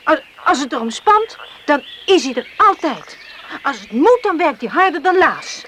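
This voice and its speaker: An actress playing a kind, loyal and sensible young island woman in a radio play, earnest and gentle. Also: sits high, big pitch swings, moderate pace, a little hiss or hum. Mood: earnest, defensive. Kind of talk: Dutch radio play acting.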